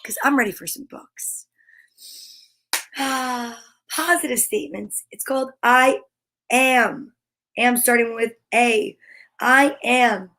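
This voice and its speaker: Woman, high voice, slow delivery, children's read-aloud host, exclamatory, playful, encouraging. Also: pushed and bright. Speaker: children's story time host. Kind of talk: live read-aloud with playful asides to viewers. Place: at home.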